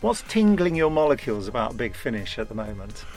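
A man's voice: medium pitch, very animated, brisk, a little mellow, faint music behind, poor audio. A man hosting a podcast with casual, enthusiastic banter and a jokey manner. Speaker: podcast host and interviewer.

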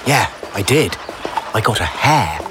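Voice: mid-range voice, big pitch swings, measured pace, background noise. A performer playing a plain-spoken, unbothered youngster in an audio fantasy drama, casual and laconic. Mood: offhand.

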